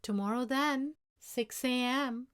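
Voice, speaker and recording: Storybook boss read by a woman: exclaiming, blunt-tempered man with a fair streak, slow measured pace. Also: high voice, a bit bright, smooth, pitched above the performer's own voice. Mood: calmer, fair. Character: upset boss, a man, shocked, angry, then fair. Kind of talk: storybook character dialogue.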